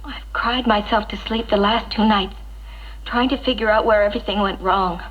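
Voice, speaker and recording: A woman's voice: medium pitch, fairly even intonation, normal speed, husky, close to an everyday voice. An actress playing a hurt, despondent young wife and new mother in a 1960s educational film, speaking in a plaintive, doubtful way. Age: young adult. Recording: dull, noisy 1960s film soundtrack.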